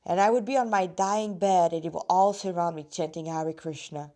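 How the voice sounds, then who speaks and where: A woman's voice: lowish voice, normal speed, warm tone. Woman with a slightly rusty voice, rambling. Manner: rambling, digressive, often stopping mid-sentence to comment. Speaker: fan fiction author reading her story aloud. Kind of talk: casual reading aloud with chatty asides.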